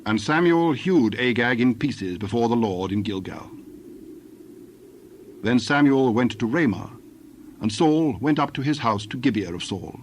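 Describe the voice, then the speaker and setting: Man narrating scripture, mid-pitched, measured. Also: lively intonation, a little hiss or hum.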